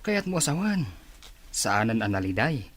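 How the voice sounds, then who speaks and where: A man's voice: mid-range voice, sing-song, moderate pace, a bit bright. A man playing a gossipy, probing office colleague in an audio drama. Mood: teasing, probing. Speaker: voice actor. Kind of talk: radio-style drama acting.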